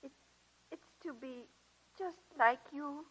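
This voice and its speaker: A woman playing a shy, unschooled young student with halting, rustic speech, in a vintage 1940s radio drama. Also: sits high, low-quality recording. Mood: shy, earnest.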